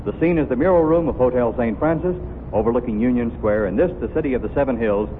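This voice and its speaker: A man's voice: medium pitch, fast, a little hiss or hum. Male announcer, formal delivery, tinny vintage radio with long reverb.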